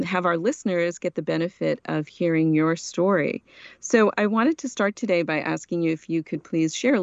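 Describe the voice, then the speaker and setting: Low, creaky-voiced woman hosting a podcast. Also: no room sound, highs cut off. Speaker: podcast host. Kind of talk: scripted introduction and interview.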